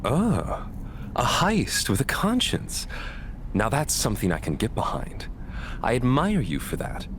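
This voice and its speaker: An actor playing a wry, roguish thief in a historical Chinese game drama, with a theatrical delivery. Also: male voice, medium pitch, huge pitch swings, unhurried, noisy background. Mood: amused, wry. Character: roguish, wry thief man, self-preserving but game.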